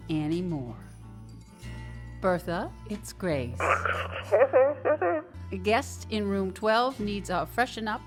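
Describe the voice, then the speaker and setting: An actress playing a kindly, wry care worker in a radio drama, reassuring and gently teasing. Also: female voice, on the low side.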